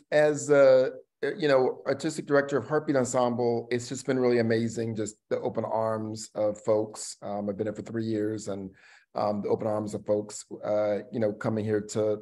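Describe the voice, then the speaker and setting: A middle-aged man speaking casually in a panel conversation, halting and reflective, trailing off between phrases. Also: medium pitch, level tone, a little mellow. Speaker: actor and theatre artistic director. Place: indoor room at home, video call. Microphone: video call microphone.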